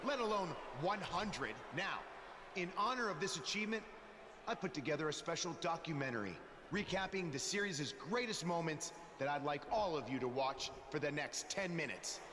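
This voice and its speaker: A man's voice: fairly high pitch, animated, moderate pace, crowd noise, lightly acted. A man voicing a pompous, smug wrestling star in a video game promo, theatrical and condescending. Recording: game audio with clear background noise.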